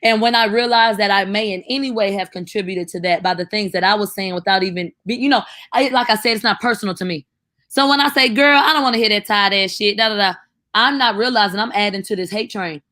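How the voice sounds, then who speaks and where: A woman's voice: mid-range voice, quick pace, pushed and bright, no room sound, studio quality. A woman co-hosting a call-in talk show, speaking bluntly and casually, calling callers baby. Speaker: co-host.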